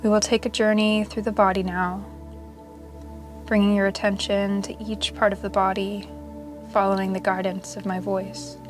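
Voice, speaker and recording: A woman leading a guided yoga meditation in a soothing, measured way with long pauses. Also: mid-range voice, level tone. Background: meditation music.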